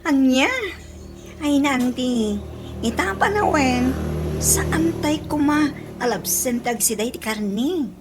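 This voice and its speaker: A woman's voice: sits high, animated, slow, long pauses, over music, poor audio. A woman with a Filipino accent playing a cheeky, campy young salon worker in a radio comedy drama, teasing and blunt. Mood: blunt, apologetic.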